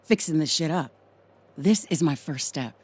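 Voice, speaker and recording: A woman's voice: low voice, lively intonation, normal speed, a little mellow, husky. A woman playing a brainy young scientist in a video game, with a dry, casual, sarcastic delivery. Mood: hopeful, casual. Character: wry, brainy young female scientist, sarcastic but hopeful.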